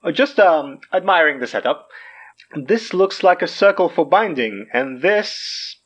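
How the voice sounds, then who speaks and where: A man playing a smooth, hammy mystic guru in an audio drama, with grandiose, theatrical delivery. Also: on the high side, animated, a little slow, a bit bright. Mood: showy, obliging. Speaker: self-styled guru and herb seller. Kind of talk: audio fiction podcast acting.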